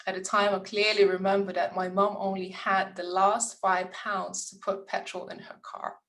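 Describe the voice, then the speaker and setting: A woman's voice: mid-range voice, little pitch movement, normal speed, fairly bright tone. A woman, hosting a summit and telling a personal story in an earnest, encouraging way. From Lithuania. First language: Lithuanian. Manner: earnest and encouraging, occasional restarts. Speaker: summit host. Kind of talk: inspirational welcome speech with personal story.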